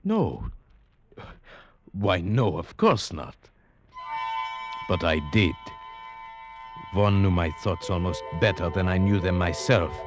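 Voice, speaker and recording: Uneasy sheriff-narrator, vintage radio suspense. Low, measured, music underneath. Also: male voice, expressive. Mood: uneasy, grave. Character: uneasy sheriff narrating a tense hunting tale. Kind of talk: 1940s radio drama acting and narration. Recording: 1947 radio broadcast with music behind.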